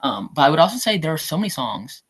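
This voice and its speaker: A young man chatting casually on a podcast, rambling along with plenty of fillers. His voice jumps high and low as he talks. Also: sing-song, fast talker, fairly bright tone, breathy edge. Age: young adult. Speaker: guest actor.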